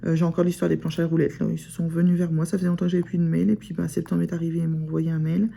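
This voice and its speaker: A woman's voice: fairly low pitch, little pitch movement, racing, creaky, dark tone, slight background noise. A woman with a northern French accent chatting casually in a vlog, rambling with many fillers and restarts. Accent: Picard (Ch'ti), northern France. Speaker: sewing vlogger. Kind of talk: casual chat to camera.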